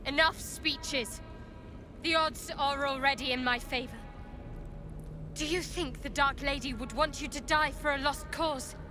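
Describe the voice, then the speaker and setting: A proud, hardened young priestess from a fantasy video game. Her voice sits high and she speaks slowly and deliberately, solemn and haughty. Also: fairly even intonation, over music. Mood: cool challenge. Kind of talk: dramatic fantasy game acting.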